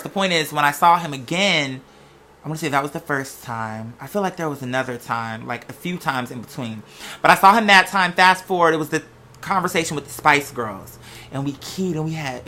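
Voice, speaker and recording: An American host talking in a casual, unscripted monologue, with an animated, sassy, slangy way of telling stories. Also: medium pitch. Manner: animated, sassy, slangy storytelling with acted-out quotes. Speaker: host of a gossip and commentary show.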